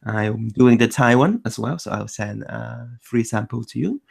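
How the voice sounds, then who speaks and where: A man's voice: mid-range voice, lively intonation, a little slow, vocal fry, slightly rough. A man hosting an online language meetup in a loose chat, restarting his sentences often. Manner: loose, self-correcting, many restarts. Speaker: meetup host. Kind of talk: casual chat.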